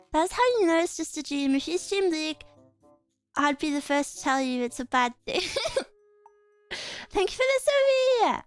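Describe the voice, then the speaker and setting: A young woman streamer chatting casually with her viewers, self-conscious and giggly, with a scratchy throat she keeps clearing. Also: extremely high pitch, expressive, unhurried, pauses often, faint music behind. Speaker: VTuber streamer. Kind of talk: casual stream chat, reading chat aloud.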